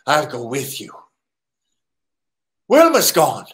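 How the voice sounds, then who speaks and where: An actor playing a peevish, volatile criminal accomplice in old-time radio drama style, shrill and easily indignant. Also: male voice, huge pitch swings, slow pace. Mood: startled, eager.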